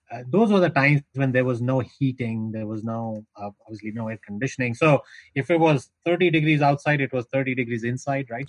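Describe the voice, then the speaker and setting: A man's voice: medium pitch, no room sound, rough recording. Talkative Indian-accented man, middle-aged. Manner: talkative, storytelling, frequent restarts. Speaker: guest, founder of an insurance tech business. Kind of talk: podcast interview.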